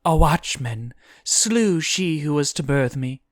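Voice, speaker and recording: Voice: medium pitch, expressive, slow pace, no echo, clean studio sound, pitched above the performer's own voice. A narrator voicing a young demon-born girl, eager and determined, with earnest, formal phrasing.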